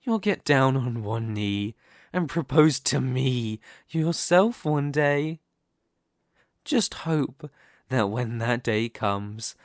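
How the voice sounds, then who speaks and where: A man playing a smug, possessive demon king in an ASMR roleplay, speaking slowly and deliberately with a teasing, condescending manner. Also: mid-range voice, no echo, studio quality. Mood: amused, smug. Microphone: close studio microphone, dry.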